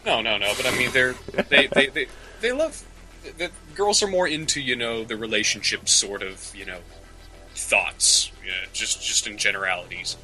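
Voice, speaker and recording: A man with a strikingly bright, forceful voice at a middling pitch co-hosts a casual advice show. His phrasing is slangy and halting, with words often repeated, and music plays behind him. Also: expressive, low-quality recording. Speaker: podcast co-host. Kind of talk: casual comedic advice chat.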